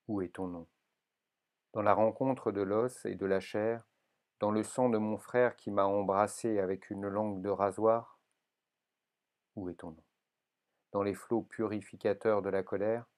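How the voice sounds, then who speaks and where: A man with a moderately low voice reads poetry solemnly. He takes his time, very slow and deliberate, setting each phrase down with measured care. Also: darkish tone. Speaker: poetry reader.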